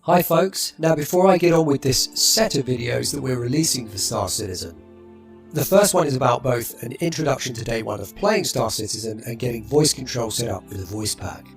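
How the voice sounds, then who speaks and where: A man narrating a game tutorial in a relaxed, explanatory way. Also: medium pitch.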